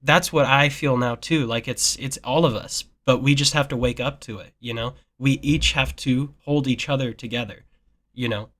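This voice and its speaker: A man's voice: medium pitch, fairly even intonation, normal speed, a bit bright. A young man talking earnestly with a relative in a casual call, rambling with many fillers. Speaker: nephew. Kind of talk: casual phone chat.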